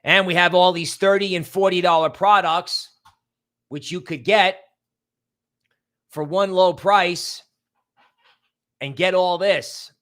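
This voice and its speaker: Emphatic high-pitched male livestream host. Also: fairly even intonation, takes it slowly, pauses often, a bit bright. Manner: emphatic, repeats words for stress, rambling asides. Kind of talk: casual livestream chat with viewer shout-outs and promotion.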